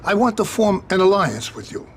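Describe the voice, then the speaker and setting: An actor playing a proud, older Sicilian mafia don, speaking slowly and formally in a game cutscene. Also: male voice, high voice, very animated, slight background noise. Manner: slow, formal, dignified.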